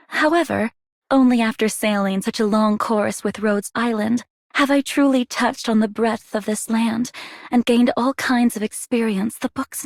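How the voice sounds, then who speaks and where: An actress plays a refined young scholar lady from a game. Her voice is fairly high and dry, with polished, formal and gracious phrasing. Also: no echo, close to an everyday voice. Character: refined, learned young woman, gracious and grateful. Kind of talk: scripted game character monologue.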